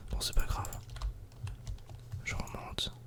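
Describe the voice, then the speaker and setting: A man softly whispering casual, informal ASMR commentary while playing a video game. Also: takes it slowly. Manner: soft-spoken, casual, informal.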